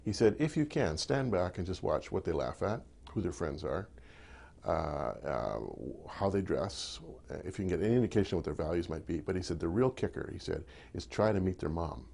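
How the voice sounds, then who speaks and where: A man hosting an interview, asking questions in a halting way with many fillers. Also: low voice, animated, creaky, darkish tone. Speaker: interview host. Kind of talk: interview conversation.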